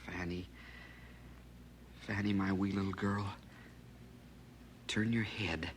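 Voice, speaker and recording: An actor playing a tender, stricken young father, hushed and emotional, in an old-fashioned radio drama. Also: sits low, steady tone, takes it slowly. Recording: old radio recording, slight background noise.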